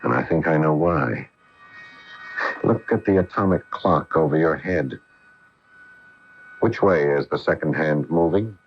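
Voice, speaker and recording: An actor playing a grave middle-aged astronaut husband in a 1980 radio drama, speaking deliberately and earnestly. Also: male voice, rumbling low, expressive, breathy edge, heavily acted. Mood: earnest, explaining. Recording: muffled old AM radio broadcast.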